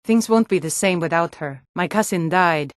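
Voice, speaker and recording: A woman's voice: on the low side, moderate pace, no echo, close to an everyday voice. Woman acting sturdy fantasy townswoman, close and dry. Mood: grieving, subdued. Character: sturdy Nord townswoman and guard, stern but plain-spoken. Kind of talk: fantasy video game character dialogue.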